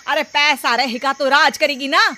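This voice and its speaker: Greedy middle-aged cartoon mother acted by a woman, melodramatic scolder and wheedler, raised pitch. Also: steady tone, unhurried, bright, forceful tone, a little hiss or hum, exaggerated voice. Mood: insistent. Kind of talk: cartoon story acting. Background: background music.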